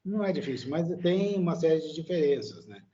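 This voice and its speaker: A man's voice: fairly high pitch, sing-song, a little fast, mellow, dull audio. A Brazilian man, a guest in a casual interview, talking hesitantly with many fillers and tag questions. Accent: Brazilian Portuguese. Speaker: guest, immigrant living in Italy.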